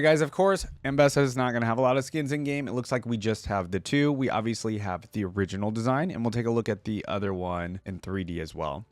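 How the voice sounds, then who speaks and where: A man's voice: on the low side, dry recording. A man hosting a reaction video, giving informal, chatty commentary and thinking aloud as he goes. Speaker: YouTube reaction host.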